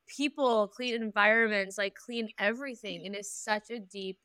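A woman hosts a podcast with a bright, smooth, resonant voice, chatty and enthusiastic. Her words come quick with lots of "literally" and "like". The recording is dry and close. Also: mid-range voice, no room sound. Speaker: podcast host. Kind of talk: casual podcast conversation.

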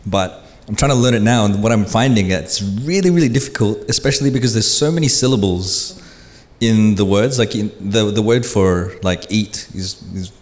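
A man from the Philippines, co-hosting a live podcast and sharing stories in a relaxed, self-deprecating way. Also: on the low side, moderate pace. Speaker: podcast co-host. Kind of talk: casual live panel chat. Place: live conference stage. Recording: hall reverb on stage.

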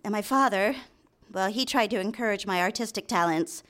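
An actress playing a nervous, eager young secretary smitten with her boss, halting and deferential, in a live radio drama. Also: medium pitch, fairly bright tone, lightly acted. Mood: earnest, admiring. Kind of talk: live radio drama acting with first-person narration. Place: theater stage, live show.

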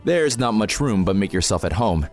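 A blunt, sardonic man, voiced by an actor in an audio drama, wary and dry. His voice sits low but moves around freely, with music beneath it. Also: lively intonation, moderate pace, close to an everyday voice. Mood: blunt concern. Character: dry, wary male protest reporter hosting guests. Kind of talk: fiction podcast acting.

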